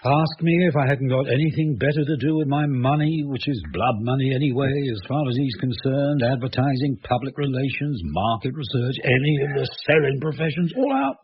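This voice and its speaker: An actor playing a reserved, world-weary middle-class English husband in a radio drama, with a dry, musing, diffident manner. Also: male voice, mid-range voice, a little fast, hardly any pauses, natural voice. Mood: bitter, ironic. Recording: dull, noisy radio drama recording.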